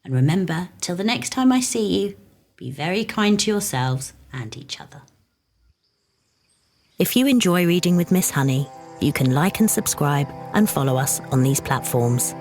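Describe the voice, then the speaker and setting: A soft-spoken woman hosting a children's storytime, narrating a picture book in a gentle, soothing way. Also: lowish voice, animated, normal speed, soft background music.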